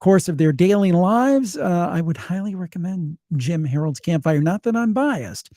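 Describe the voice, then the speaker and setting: A man talking casually as a podcast guest, pitching his show in a live interview. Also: expressive, moderate pace, warm tone, no echo, studio quality.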